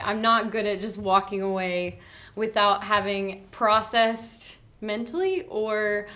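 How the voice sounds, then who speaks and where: A woman's voice: medium pitch, fairly bright tone. A young adult woman speaks in an earnest, thoughtful way as a teacher guest on a school podcast. Her voice sits in an ordinary middle register, and she sometimes drops into quoted inner thoughts. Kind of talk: reflective casual chat.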